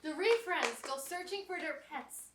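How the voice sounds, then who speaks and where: A woman's voice: sits high, expressive, normal speed. A woman giving scripted announcements as co-host of a club's stage event. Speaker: event co-host, club presenter. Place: college theatre, indoors. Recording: echoey theatre, distant, faint noise.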